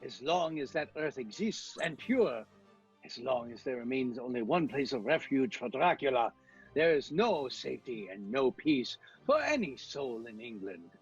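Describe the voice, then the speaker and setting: An actor playing an urgent, commanding professor who hunts vampires, in a dramatic radio-play style with a husky voice. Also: male voice, mid-range voice, very animated, moderate pace, highs cut off, soft background music, heavily acted. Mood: desperate insistence.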